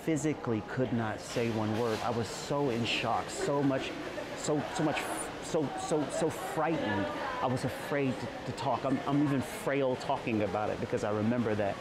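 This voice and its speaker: A man speaking earnestly as an interview guest, at times halting and repeating words. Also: mid-range voice, heavy background noise. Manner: emotional, halting restarts. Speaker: guest, trauma survivor and advocate.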